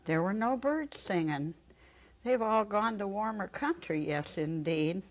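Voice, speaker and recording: An older woman giving a dramatic reading as a fond, excitable, childlike elderly lady, with an expressive delivery. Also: mid-range voice, unhurried, natural voice. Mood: excited. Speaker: dramatic reader. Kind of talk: dramatic reading of a short story. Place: indoor studio set. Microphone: lapel.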